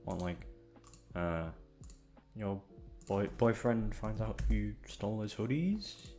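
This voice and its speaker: A man's voice: lowish voice, expressive, slow, mellow, soft background music. A man chatting casually and hesitantly to his listeners, rambling along in a self-deprecating, sweary way. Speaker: audio content creator.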